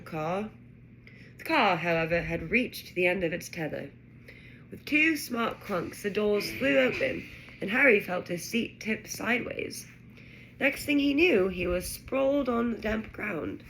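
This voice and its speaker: A woman narrating a children's fantasy story aloud in an expressive reading style. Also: medium pitch, a little hiss or hum, low-quality recording. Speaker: audiobook-style reader.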